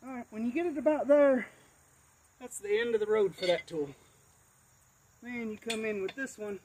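American male DIY demonstrator, high-set voice, folksy and instructional while working. Outdoor camera sound with light insect ambience. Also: moderate pace, darkish tone, low-quality recording. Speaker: do-it-yourself demonstrator. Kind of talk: casual hands-on tutorial. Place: outdoors in a cluttered rural yard. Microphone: camera a few feet away. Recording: outdoor camera audio, faint insect noise.

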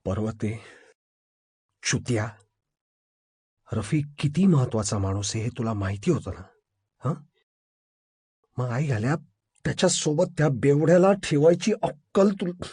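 A man's voice: medium pitch, quick pace, darkish tone, husky, no room sound, studio quality, voice pushed down, close to an everyday voice. A man voicing a furious, commanding police officer in a crime audio drama, menacing, with short repeated orders and questions. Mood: menacing, restrained anger. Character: furious, commanding male police officer interrogating his subordinates.

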